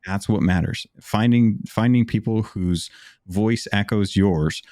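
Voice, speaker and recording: Man, deep voice, mellow tone, relaxed rambling chat, podcast guest. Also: unhurried, no echo, studio quality. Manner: relaxed, rambling with many restarts. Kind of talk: casual conversation.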